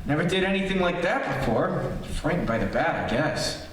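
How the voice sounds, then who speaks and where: Distant echoey recording, faint noise, man playing jumpy haunted-house reporter, halting, confiding, animated pitch, old radio horror drama. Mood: uneasy.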